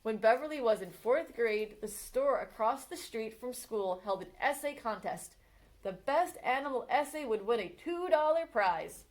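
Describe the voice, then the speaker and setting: Brief: female librarian, fairly high voice, animated children's story reader. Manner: expressive storytime reading, slowing on exciting moments. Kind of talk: children's read-aloud of a picture book biography.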